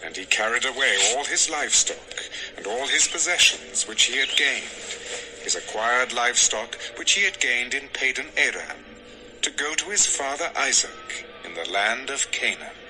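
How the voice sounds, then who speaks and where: A man's voice: mid-range voice, animated, normal speed, bright, forceful tone, soft background music, poor audio. A man narrating scripture from a script as the narrator of an audio drama.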